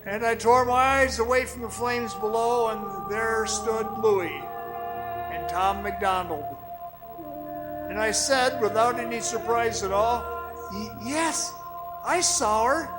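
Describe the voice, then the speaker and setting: A man playing a weary, awestruck man who recounts an eerie ordeal in a radio drama, dramatic, halting and haunted. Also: extremely high pitch, poor audio. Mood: awed. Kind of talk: dramatic radio play narration. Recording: music behind the voice.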